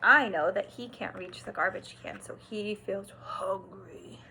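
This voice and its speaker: A woman teacher doing an expressive read-aloud for young children, stressing words and pausing to explain and ask simple questions. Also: medium pitch, creaky, a little hiss or hum, poor audio. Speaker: kindergarten teacher.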